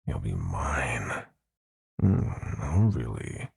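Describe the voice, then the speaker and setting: Gravelly, very deep nightmare god villain. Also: male voice, sing-song, a little mellow, heavily acted. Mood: mocking delight. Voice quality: gravelly, husky, breathy growl. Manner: slow, taunting, theatrical purring. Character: sadistic possessive male god of nightmares, silky menace. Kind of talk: dramatic audio roleplay villain.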